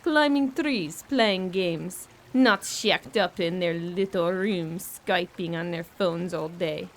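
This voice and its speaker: A woman's voice: mid-range voice, close to an everyday voice. A young woman acting a blunt, resentful au pair in an audio horror drama. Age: young adult. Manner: blunt, complaining.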